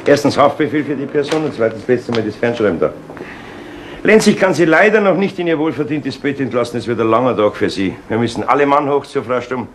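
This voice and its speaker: An actor playing a middle-aged Munich chief inspector in a crime radio play, with a Bavarian accent and a dry, ironic, courteous authority. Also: male voice, medium pitch, fairly quick, close to an everyday voice. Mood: wry. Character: wry, unhurried male Munich police chief inspector. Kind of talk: 1970s German crime radio play acting. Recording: noisy old 1970s radio recording.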